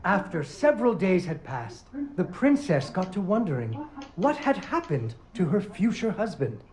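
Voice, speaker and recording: A lively puppet-show storyteller. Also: male voice, high voice, normal speed, slight background noise, poor audio. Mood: storytelling. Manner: animated, sing-song fairy-tale delivery. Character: playful adult male puppet-show storyteller, theatrical. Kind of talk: storybook narration in a video game. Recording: game audio with music underneath.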